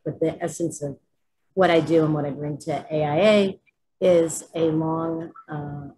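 Low-voiced woman talking from a kitchen. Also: darkish tone, poor audio. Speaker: advocacy director. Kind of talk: video call panel talk. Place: home kitchen.

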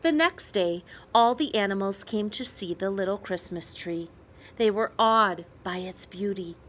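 A woman reading a children's picture book aloud as storyteller, with expressive emphasis and dramatic pauses. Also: mid-range voice, a little hiss or hum.

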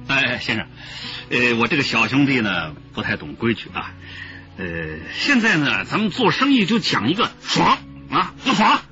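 Male, jovial smooth-talking trader boss, radio drama, very animated delivery, music underneath. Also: medium pitch, moderate pace. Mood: brisk, persuasive. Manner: effusive, chuckling, peppered with interjections. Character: jovial, smooth-talking boss figure haggling over a smuggling deal. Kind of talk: Chinese radio drama acting. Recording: dull radio drama audio with background music.

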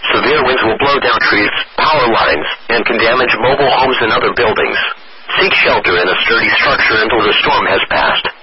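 A synthetic male announcer delivers formal emergency weather warnings over the radio. His mid-pitched voice is measured and impersonal. The sound is dull and lo-fi, buried in loud noise.

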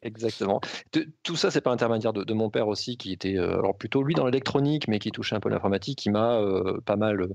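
A man in his forties, a software developer guest, answering hesitantly with many pauses and repeated words. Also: fairly low pitch, creaky, no echo, muffled recording. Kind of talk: casual interview answers.

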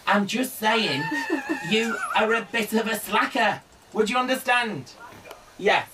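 A man's voice: high voice, huge pitch swings, takes it slowly, distant mic, noisy background, full character voice. A man playing a camp, flamboyant male psychic, cooing "darling" at callers in a radio comedy bit. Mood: mock-mystical. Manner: camp, mock-mystical, coos "darling" and "sweetheart". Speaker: studio guest psychic. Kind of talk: improvised comedy character on radio.

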